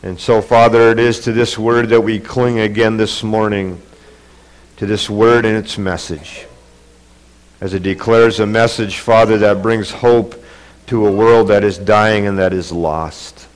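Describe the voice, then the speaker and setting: A man's voice: on the low side, fairly even intonation, unhurried, smooth, poor audio. A man delivers a church sermon through a pulpit microphone, his voice congested from a cold. He reads and preaches earnestly, building in intensity as he goes. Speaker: pastor.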